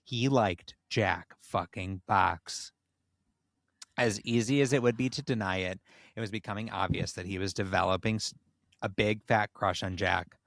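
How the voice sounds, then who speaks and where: A man's voice: on the low side, moderate pace, creaky, fairly bright tone, dry recording. A man co-hosting a podcast, with a playful, theatrical way of reading and chatting. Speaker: podcast co-host. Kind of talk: casual chat and dramatic reading of a comic erotic story.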